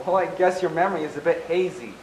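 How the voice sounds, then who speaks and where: A man's voice: a little high, fast talker, close to an everyday voice. A young man acting a defensive, indignant character in an amateur one-act stage play. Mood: defensive, dismissive. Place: indoor stage, recorded from a distance. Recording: echoey stage, distant mic, faint noise.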